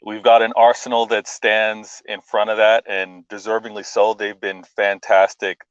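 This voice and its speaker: A man with a fairly low voice talks football as a guest on a live stream, eager and casual, with frequent "um" pauses. His mic sounds dull and muffled, dry with no room echo. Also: little pitch movement, clear, resonant tone. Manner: enthusiastic, fills pauses with "um". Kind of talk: casual chat.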